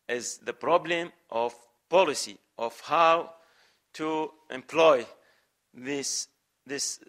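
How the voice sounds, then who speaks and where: Older Iraqi-accented man with a bright, forceful voice. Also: medium pitch. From Iraq. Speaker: guest lecturer, professor of medicine. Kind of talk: answering audience questions at a public lecture. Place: large lecture hall. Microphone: podium microphone.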